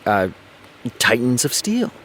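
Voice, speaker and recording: An actor playing a brooding male TV showrunner in an audio drama, halting and understated as he voices his doubts. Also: medium pitch, huge pitch swings, fairly bright tone, a little hiss or hum, heavily acted. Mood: hesitant, modest. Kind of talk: naturalistic audio drama dialogue.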